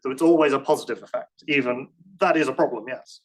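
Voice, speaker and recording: A man's voice: mid-range voice, fast talker, low-quality recording. A man answering questions after a conference talk in a lecture room, speaking casually with frequent restarts and self-deprecating asides. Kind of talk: technical Q&A, casual and hedging.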